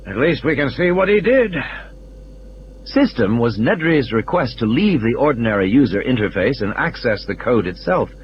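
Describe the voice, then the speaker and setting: A man's voice: mid-range voice, muffled recording, slight background noise. A man reading scripted dramatic narration as the narrator of an audio play. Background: faint ambient sound effects.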